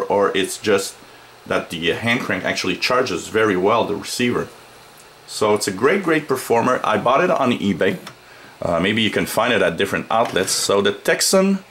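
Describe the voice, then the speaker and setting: A man giving a casual hands-on gadget review, explaining things plainly and haltingly as the reviewer. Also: fairly low pitch. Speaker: product reviewer. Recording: noisy home recording, steady background noise.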